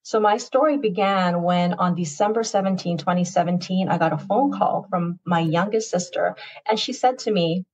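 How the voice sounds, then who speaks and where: A woman in her fifties, a retired nurse whose first language is Tagalog, tells her wellness story in an earnest, measured way on a video call. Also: lowish voice, a little mellow. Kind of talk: podcast guest story. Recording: muffled lo-fi sound.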